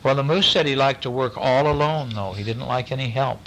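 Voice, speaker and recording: A man's voice: medium pitch, fairly quick, slight background noise, low-quality recording. A man reading a bedtime story aloud expressively, stopping to chat with and question a young listener. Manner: animated questioning, explains words to listener.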